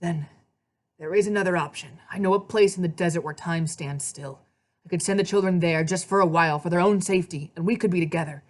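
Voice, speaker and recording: Give this woman's portrayal of a brooding underworld god from a fantasy storybook. He is agitated and urgent, pleading with grave insistence in a lowish voice. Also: brisk, lightly acted. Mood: anxious, pleading.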